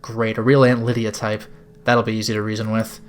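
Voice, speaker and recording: A man's voice: lowish voice, flat delivery, fairly quick, a little hiss or hum, pitched below the performer's own voice, close to an everyday voice. A man voicing a drowsy, sarcastic young woman held captive in a video game, in a wry, exasperated inner monologue. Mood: sarcastic, resolute. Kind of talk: video game dialogue read-aloud.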